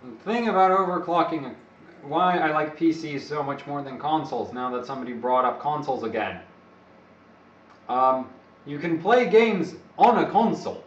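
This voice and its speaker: A man's voice: a little high, lively intonation, moderate pace, recorded from a distance. A man who is not a native English speaker, rambling and joking on a live stream to his viewers. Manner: rambling, joking, talks to himself. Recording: echoey room, away from the mic, dull lo-fi sound.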